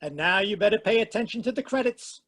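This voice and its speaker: High-voiced playful radio announcer, man. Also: animated, fast, a bit bright. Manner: playful, formal announcer delivery. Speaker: announcer and host. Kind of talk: radio drama announcing and credits. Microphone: home mic over video call.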